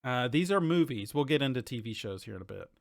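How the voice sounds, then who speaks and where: A man's voice: medium pitch, expressive, fast talker, gravelly, no echo, clean studio sound. A man co-hosting a podcast, chatting casually and bluntly in an informal way. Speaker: podcast co-host. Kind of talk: casual chat about games and shows.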